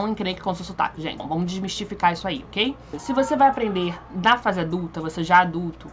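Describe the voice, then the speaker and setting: A woman's voice: mid-range voice, a bit bright, a little hiss or hum. A young woman, telling a personal story to camera in a chatty, informal way. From Brazil. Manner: chatty, informal, frequent fillers and restarts. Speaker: vlogger.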